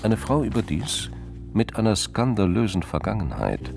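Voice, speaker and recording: A man's voice: on the low side, huge pitch swings, normal speed, creaky, music in the background. A man reading scripted narration for a crime radio drama.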